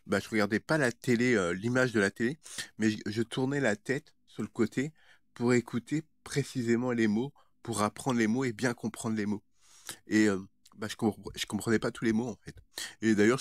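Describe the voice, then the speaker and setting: Low-voiced man, halting casual monologue. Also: no echo. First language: French. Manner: hesitant, halting, with frequent stammered word repetitions. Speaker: vlogger. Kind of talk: casual rambling monologue to viewers.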